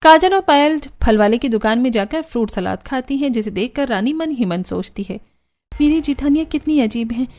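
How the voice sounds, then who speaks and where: A woman's voice: fairly high pitch, expressive, brisk, few pauses, darkish tone. A woman narrating a scripted cartoon family story.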